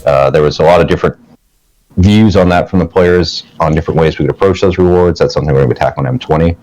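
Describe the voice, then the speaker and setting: Very deep-voiced relaxed game designer. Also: male voice, fast talker, dull audio. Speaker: lead systems designer. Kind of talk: livestream panel answers.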